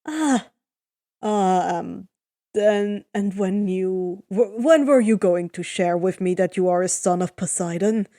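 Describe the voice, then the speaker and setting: A woman voicing a cautious adult male ship captain who speaks haltingly, in a fan fiction reading. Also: mid-range voice, warm tone, pitched below the performer's own voice. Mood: flustered. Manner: hesitant, halting speech.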